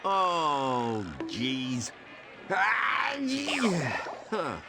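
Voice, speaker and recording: A man's voice: high voice, very animated, extremely slow, gravelly, over loud music, full character voice. A man voicing an impatient, exasperated superhero sidekick in a cartoon game, with a whiny delivery. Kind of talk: video game cartoon voice acting. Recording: loud noise behind.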